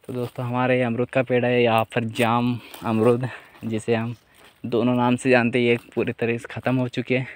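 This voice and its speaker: A man's voice: mid-range voice, monotone, normal speed, smooth. A young man giving a chatty walking tour of his farm, talking to viewers through a phone held at arm's length outdoors. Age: young adult. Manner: chatty, addresses viewers as friends. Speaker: vlogger. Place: outdoors on a dry farm among trees.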